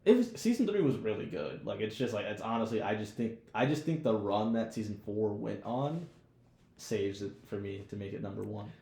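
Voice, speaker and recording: A man chats casually as a podcast co-host, loose and slangy with some swearing. His voice is fairly low and mellow, with a lot of fry. The room around him is audibly echoey. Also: expressive, moderate pace. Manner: slangy, profane, informal. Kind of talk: casual chat, TV show discussion.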